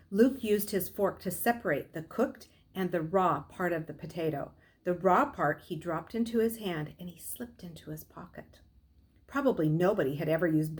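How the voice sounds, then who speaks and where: A middle-aged woman reading a novel aloud as a storyteller, in expressive narration. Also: lowish voice. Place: small furnished room at home, indoors.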